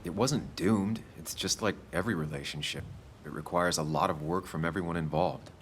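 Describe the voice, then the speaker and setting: An actor as a low-voiced, brooding man. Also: fairly quick, slight background noise, natural voice. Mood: reflective, regretful. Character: brooding, introspective man gently supporting his ex-girlfriend. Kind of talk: naturalistic video game drama acting.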